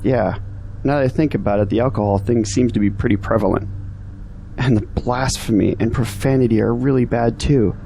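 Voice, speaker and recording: A man's voice: mid-range voice, fairly quick, dark tone, slight background noise, lightly acted. A man playing an earnest, discouraged young graduate in an audio drama, complaining about his troubles. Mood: earnest, puzzled. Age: young adult.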